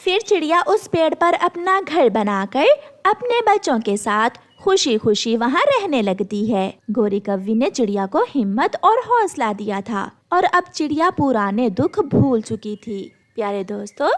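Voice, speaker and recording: A woman's voice: fairly high pitch, big pitch swings, unhurried. A woman storyteller reading scripted narration for a children's moral story.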